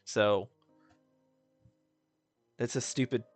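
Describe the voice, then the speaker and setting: A man's voice: medium pitch, fairly even intonation, extremely slow, no echo, faint music behind. Slangy man rambling, dry close mic. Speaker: streamer reacting to a game. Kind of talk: casual reaction commentary.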